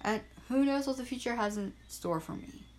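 A young woman from Bermuda hosting her own small podcast, chatting in an upbeat, self-deprecating way and spelling things out slowly. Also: on the high side, animated, a little mellow. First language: Portuguese and English bilingual. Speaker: podcast host. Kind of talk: casual solo podcast chat. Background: frogs and crickets at night.

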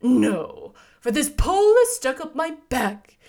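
A woman voicing a friendly, naive male scarecrow in a storybook, husky, with loud, drawn-out lines. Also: high-pitched, very animated, pitched above the performer's own voice, full character voice. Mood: polite complaint. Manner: loud, drawn-out, exclaiming delivery.

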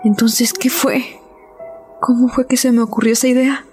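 An unhinged murderess narrates her own crime in a radio play, voiced by a woman, her tone brooding and repetitive. She draws out her words slowly and dramatically, and she sits a touch high for a woman. Clear music plays under her throughout. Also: flat delivery, natural voice. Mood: brooding obsessive. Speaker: narrator and protagonist. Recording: voice over loud music.

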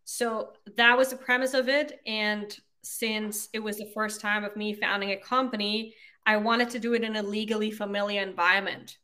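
A woman in her thirties from Austria, a native German speaker, talking through her career in a casual interview with relaxed, reflective speech. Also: mid-range voice, moderate pace, hardly any pauses. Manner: relaxed, reflective, uses "like" and "you know" often. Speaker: podcast guest, agency founder.

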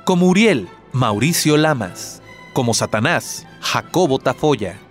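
A man's voice: mid-range voice, animated, measured pace, soft background music. A man reading scripted radio credits as an announcer.

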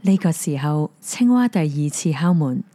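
A woman with a Hong Kong Cantonese accent gently narrating a fairy tale. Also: deep voice, unhurried, slight background noise. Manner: gentle, even storytelling. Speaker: storyteller. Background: soft piano music.

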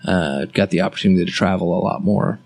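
A young man from Canada chatting casually as an interview guest, relaxed and halting with many fillers. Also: low voice. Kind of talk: casual chat.

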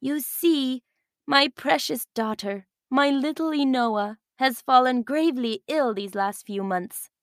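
A woman's voice: sits high, slow pace, no room sound, studio quality, pitched above the performer's own voice. A woman voicing an earnest, emotional island village leader, a grown woman, in a children's storybook reading. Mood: pleading.

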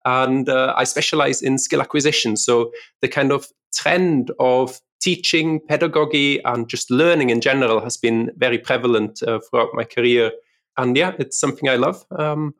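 Gravelly-voiced man, chatty podcast guest. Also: medium pitch, moderate pace. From Austria. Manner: relaxed, chatty, uses fillers like "kinda like". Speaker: guest lecturer. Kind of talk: podcast interview.

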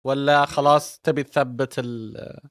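Podcast host, dry close mic. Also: male voice, medium pitch, a little slow, dry recording. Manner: relaxed, affirming, asks leading questions. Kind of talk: casual interview talk.

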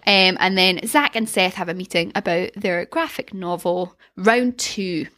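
A woman's voice: on the low side, unhurried, sharp tone. A woman co-hosting a casual TV rewatch podcast, chatty and playful as she recaps episodes. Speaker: podcast co-host.

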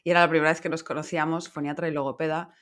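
A woman podcast host being interviewed, speaking in a calm, measured way and laughing easily. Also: low voice. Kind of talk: casual conversational interview.

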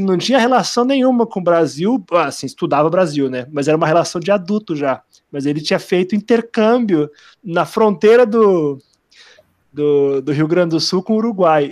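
Slightly high-voiced man telling stories. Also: a little mellow, no room sound, studio quality. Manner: hesitant storytelling with many fillers and restarts. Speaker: podcast co-host. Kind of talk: casual chat, telling anecdotes.

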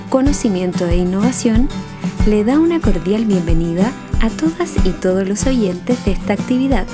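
A woman reading a formal scripted introduction to a radio play as its announcer. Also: mid-range voice.

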